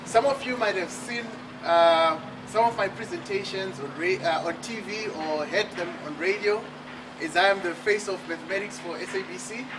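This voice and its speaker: A man from Zimbabwe with a high voice delivers an emphatic motivational talk on an outdoor terrace at night. He is picked up from a distance by the camera, with steady outdoor noise behind him. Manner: emphatic, rhetorical questions. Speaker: author and speaker. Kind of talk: motivational speech at a book launch. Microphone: far camera.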